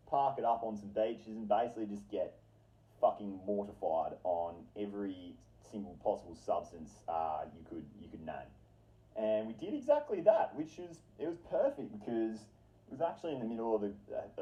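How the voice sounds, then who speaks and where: Male storyteller, low-pitched, casual and rambling, slangy and sweary, leaning on repetition for dramatic effect. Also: muffled recording.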